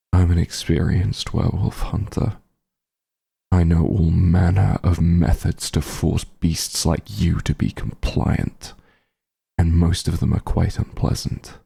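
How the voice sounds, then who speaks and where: A very low, husky male voice acted by a man for a grim fantasy werewolf hunter in an audio roleplay. He is cold and contemptuous, with bitter grief underneath. The delivery is slow and deliberate, full of menace. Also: dark tone, heavily acted. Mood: cold, menacing. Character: bitter, menacing werewolf hunter grieving his missing friend. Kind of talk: scripted ASMR roleplay, slow dramatic monologue.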